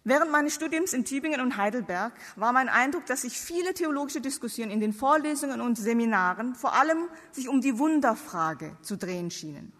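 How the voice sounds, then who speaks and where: A woman's voice: high-pitched, animated, brisk, few pauses, a bit bright. A woman who is a non-native German speaker preaches theology to an audience with emphatic rhetorical questions. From outside Germany. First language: not German. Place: large reverberant hall before seated audience. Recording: long reverb of a large hall.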